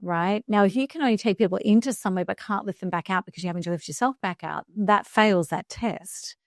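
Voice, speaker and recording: A woman in her fifties speaks with earnest conviction, leaning into her points and slowing down to land the important words. Her voice sits in an ordinary middle pitch. It is recorded close to the mic, with a dry, dead sound. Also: no echo. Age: 50s. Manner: earnest, emphatic, slows down for key phrases. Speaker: guest speaker coach. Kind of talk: reflective podcast conversation.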